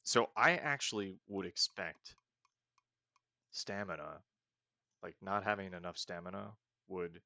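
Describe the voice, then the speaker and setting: Deep gravelly man narrating his gameplay. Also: a little slow, pauses often, pushed and bright, dry recording. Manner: thinking aloud, chatty and self-mocking. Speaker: let's-play host. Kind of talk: casual gameplay commentary.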